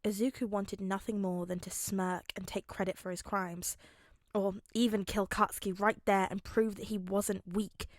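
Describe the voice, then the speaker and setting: A woman's voice: medium pitch, dry recording, studio quality. A woman reading scripted story narration in the style of an audiobook. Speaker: fan fiction narrator.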